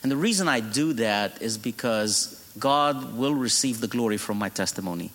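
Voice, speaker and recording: Egyptian-accented preacher in a reverberant hall. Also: male voice, medium pitch, lively intonation, moderate pace, fairly bright tone. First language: Arabic. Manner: humorous storytelling, laughing at his own jokes. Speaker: guest preacher. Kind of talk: sermon testimony. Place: church.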